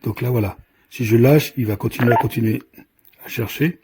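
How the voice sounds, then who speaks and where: A man giving an unscripted, step-by-step product demonstration as a reviewer, with a hesitant, halting delivery and frequent self-interruptions. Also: medium pitch. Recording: clearly noisy recording with clicks.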